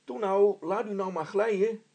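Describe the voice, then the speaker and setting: This is a man acting a practical storybook handyman. He speaks in a husky, very creaky voice, coaxing and gently teasing. Nothing ruffles him. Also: high-pitched, normal speed, no echo, pitched above the performer's own voice. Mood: patient, teasing. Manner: matter-of-fact, gently teasing, encouraging.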